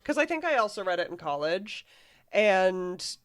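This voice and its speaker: Wry podcast guest, mid-pitched woman's voice. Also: sing-song, normal speed. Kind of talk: casual chat.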